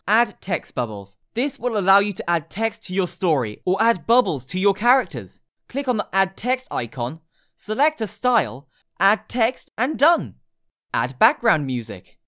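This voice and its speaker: A woman narrates a scripted how-to guide with a lively, moving pitch. She speaks in short instructional steps, laying out each direction plainly. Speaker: tutorial narrator. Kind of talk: scripted step-by-step app tutorial narration.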